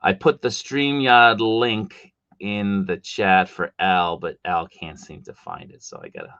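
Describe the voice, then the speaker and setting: A middle-aged man with a Boston accent talks casually on a livestream, his voice bright and lively in pitch. The sound is dry and a bit dull, like a desktop mic in a small room. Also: a little slow, gravelly, no echo. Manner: chatty, reads chat comments aloud. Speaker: livestream host.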